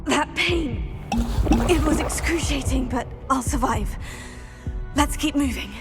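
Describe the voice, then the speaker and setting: A woman's voice: sits high, slow pace. A woman playing an earnest, polite teenage witch student in a video game. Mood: strained, determined. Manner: polite, composed. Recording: music under the voice.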